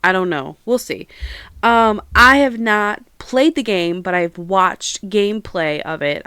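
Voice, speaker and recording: A woman's voice: fairly low pitch, pushed and bright, clean tone, no room sound. Chatty young woman with a head cold. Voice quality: nasal. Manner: chatty, rambling, self-aware about yapping. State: stuffy head cold, nasal. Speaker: podcast host. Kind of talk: casual solo podcast chat. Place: own room at home.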